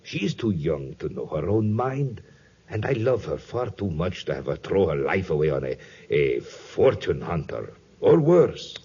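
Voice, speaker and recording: A man's voice: sits low, very animated, unhurried, mellow, slightly rough, full character voice. An actor playing a domineering, suspicious plantation owner and father in a 1950s radio drama, formal and self-justifying in manner. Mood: grave. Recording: dull vintage 1950s radio recording.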